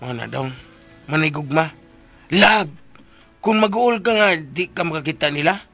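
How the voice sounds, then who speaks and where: A brash, bright-voiced buddy. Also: male voice, a little high, animated, measured pace, faint music behind, heavily acted. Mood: patient, coaxing. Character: brash, teasing male buddy explaining love to slow friend. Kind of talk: radio drama acting.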